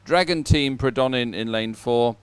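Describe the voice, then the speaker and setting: A man's voice: medium pitch, moderate pace, clean tone, no echo. A man hosting live sports commentary in a chatty, jovial way, as if talking with a colleague. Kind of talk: live sports commentary, relaxed co-host chat.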